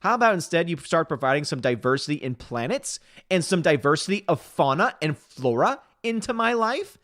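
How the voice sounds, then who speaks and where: Man in his 30s, slightly higher voice, dry close sound, tired-sounding, chatty stream host. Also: very animated, fairly bright tone, dry recording. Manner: chatty, opinionated, quick asides. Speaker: livestream host reading chat. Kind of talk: casual livestream chat.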